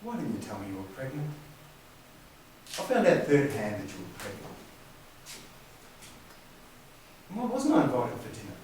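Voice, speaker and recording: A man's voice: medium pitch, huge pitch swings, fast talker, darkish tone. A man acting an indignant, wounded, sarcastic younger brother in a stage drama. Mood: hurt, defiant. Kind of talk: amateur stage play acting. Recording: echoey room from far off, faint noise.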